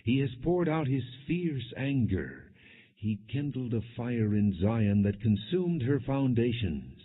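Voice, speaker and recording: Deep, husky man reading scripture solemnly. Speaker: audiobook narrator. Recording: tinny phone-like narrow-band sound.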